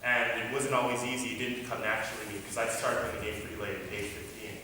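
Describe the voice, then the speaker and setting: Low-voiced young man, very echoey hall. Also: level tone, fast talker, bright, forceful tone. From Bahamas, moved to Toronto, Canada as a youth. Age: young adult. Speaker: motivational speaker, professional basketball player. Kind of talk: motivational talk to students. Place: large indoor school gymnasium. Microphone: far camera in the audience. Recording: echoey gym, far-off camera mic, noisy.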